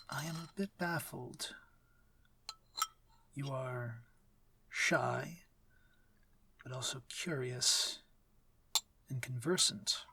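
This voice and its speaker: A man playing a courteous, commanding vampire lord in an intimate ASMR roleplay, speaking formally in a measured, breathy, near-whispered voice. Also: mid-range voice, bright, forceful tone, dry recording, exaggerated voice. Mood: curious, gentle. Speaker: audio roleplay voice actor.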